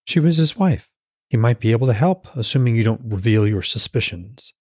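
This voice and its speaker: A man's voice: mid-range voice, animated, fairly quick, a little mellow, husky, no room sound, clean studio sound. A man voicing a concerned, frank goddess wife, questioning and pointed, in an audiobook.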